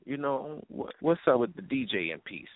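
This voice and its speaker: A man's voice: medium pitch, very animated, moderate pace, dry recording. A man hosting a casual interview, speaking in a slangy, interjecting way over a narrow, tinny phone-like line.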